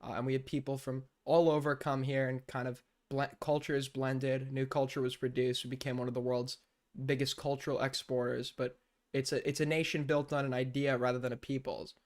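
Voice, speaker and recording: A man's voice: medium pitch, little pitch movement, fairly quick. A young man giving casual live-stream commentary, rambling and blunt, with lots of fillers and swearing. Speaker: streamer reacting to news clips.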